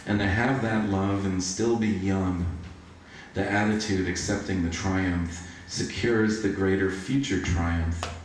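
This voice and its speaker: A man with a low voice reads poems aloud at a slow, measured pace. He is heard from far off in a very echoey room. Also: steady tone, a little hiss or hum. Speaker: poetry reader. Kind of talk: live poetry reading at a book launch. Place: bookshop event, recorded from a distance.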